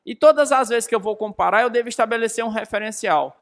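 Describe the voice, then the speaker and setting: A man's voice: sits high, fast. A man in his thirties with a Brazilian accent teaching a lesson, emphatic and didactic, asking rhetorical questions and repeating key phrases. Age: 30s. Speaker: physics teacher.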